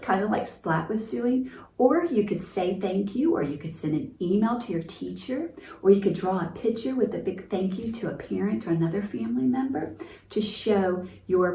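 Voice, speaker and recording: A woman's voice: mid-range voice, creaky, a little mellow. A woman hosting storytime for young children, speaking in a simple, encouraging, teacherly way as she reads and explains. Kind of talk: picture-book reading and explaining to young children. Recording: roomy, distant mic, faint noise.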